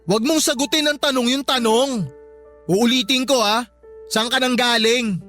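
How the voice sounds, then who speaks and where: A woman's voice: fairly high pitch, fairly even intonation, moderate pace, fairly bright tone, faint music behind, close to an everyday voice. A woman voicing a hot-tempered young boyfriend who games, in a radio drama. He talks in an insulting, sarcastic and bossy way. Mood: sarcastic, demanding. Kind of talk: Tagalog radio drama acting.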